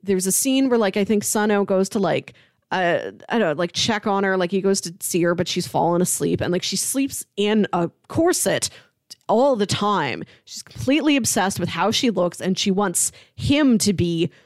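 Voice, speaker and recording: A woman talks as a podcast co-host in a casual, conversational recap style. Her pitch is average and the sound is very dry, with little room to it. She retells scenes loosely and voices bits of dialogue as she goes. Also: animated, moderate pace, a little mellow, dry recording. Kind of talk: casual recap discussion of a TV drama.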